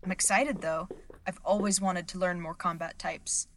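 A woman's voice: lowish voice, fairly even intonation, moderate pace, slight background noise, natural voice. A woman playing an eager, awkward young acting student in an audio drama, chatty and a little hesitant.

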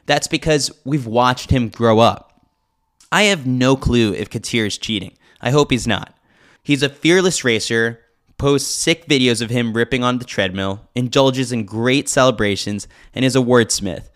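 A man's voice: medium pitch, fairly bright tone. Wry man narrating sports news. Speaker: podcast host reading a sports newsletter. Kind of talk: scripted narration with wry commentary.

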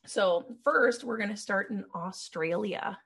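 A woman's voice: mid-range voice, a little slow, creaky, fairly bright tone, husky. A woman co-hosting a podcast in a playful, teasing chat, laughing often as she tells a true-crime story. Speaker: podcast co-host.

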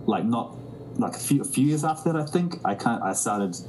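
A musician from New Zealand, a man, speaking reflectively in an interview. He often restarts sentences and fills pauses. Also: mid-range voice, expressive, a little mellow, a little hiss or hum. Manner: thoughtful, many restarts and fillers. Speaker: musician guest.

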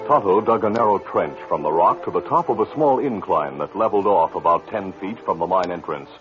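A man's voice: low voice, animated, fairly quick. A man giving a dramatic scripted narration in the style of an old-time radio western. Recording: muffled old radio recording with music.

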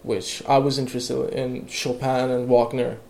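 Gravelly-voiced Iranian-born man, halting. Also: medium pitch, level tone, darkish tone, a little hiss or hum, rough recording. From Iran. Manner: halting, with many fillers and restarts. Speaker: guest, electronic music producer. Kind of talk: casual interview conversation.

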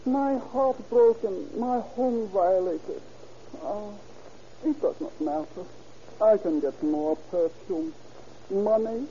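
An actress playing an affected, melodramatic film diva, grandiose and self-pitying, in old-time radio style. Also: female voice, mid-range voice, slow pace, dark tone, close to an everyday voice. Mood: lovelorn, melodramatic. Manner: grandiose, theatrical, sighing. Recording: muffled vintage radio with faint noise.

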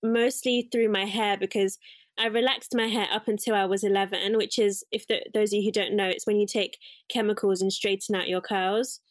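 A woman's voice: mid-range voice, steady tone, a little fast, dry recording. A young woman from England, a guest talking about her life in a casual interview, reflective and rambling with fillers and self-corrections. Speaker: guest, curly hair salon owner.

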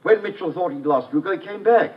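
An actor playing a tweedy upper-class British gentleman, plummy, fussy and blustering, in an old-time radio drama. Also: high-pitched, brisk, close to an everyday voice. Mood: curt, scheming. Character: tweedy upper-class British gent, silly and two-faced. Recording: muffled, noisy vintage radio recording.

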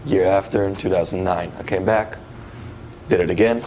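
A man's voice: low voice, fairly even intonation, normal speed, darkish tone, a little hiss or hum, rough recording. A man, chatting casually and retelling his experiences in slangy, quoted dialogue. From Netherlands. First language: Dutch. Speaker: guest, professional dancer. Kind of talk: casual conversational storytelling.

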